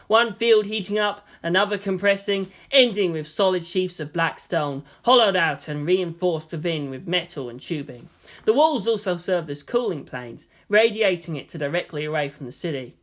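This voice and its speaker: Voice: mid-range voice, lively intonation, moderate pace, fairly bright tone. A narrator reading fan fiction aloud as scripted storytelling.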